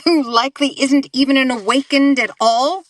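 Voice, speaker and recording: A woman's voice: sits high, normal speed, dry recording, studio quality, natural voice. A woman playing a cynical, condescending member of a secret society in an audio drama. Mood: scornful. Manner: condescending, mocking.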